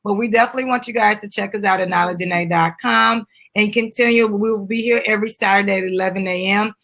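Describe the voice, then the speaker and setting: A woman's voice: mid-range voice, fairly even intonation, fast, fairly bright tone. A woman chatting casually to viewers as she hosts a live stream and promotes a children's book. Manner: chatty, addresses viewers as guys.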